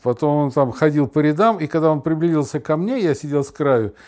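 A man's voice: on the high side, lively intonation, fairly quick, a little mellow. A middle-aged or older man co-hosting a podcast, chatting casually and telling anecdotes in a wry, joking way. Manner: wry, mock-plaintive joking. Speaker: podcast co-host.

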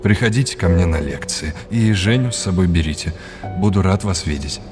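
Low-voiced courteous actor as a kindly academic. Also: male voice, over music, lightly acted. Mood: warm, friendly. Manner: encouraging, courteous, deliberate. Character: kindly, courteous male academic, a mentor figure. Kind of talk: audio drama acting.

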